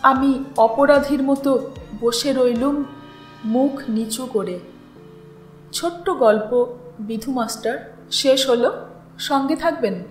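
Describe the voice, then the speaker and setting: Female narrator for literary fiction: high voice, measured dramatic reading, music underneath. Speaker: storyteller and channel host. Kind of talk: expressive literary story narration. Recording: roomy echo with background music.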